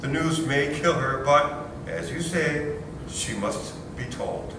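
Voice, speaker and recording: A melodramatic, self-pitying young nephew, played by a man, talks theatrically and sarcastically at a very slow pace. He is heard from far off in a very echoey room, in a stage comedy. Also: on the high side, gravelly, background noise. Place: large room or hall, distant microphone.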